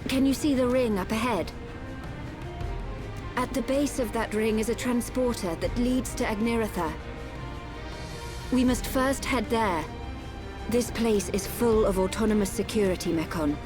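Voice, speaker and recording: A woman playing a solemn, composed guide of an ancient race in a video game, speaking in a measured, formal way. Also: a little high, lightly acted. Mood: calm, instructive. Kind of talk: scripted video game cutscene acting. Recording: music behind the voice.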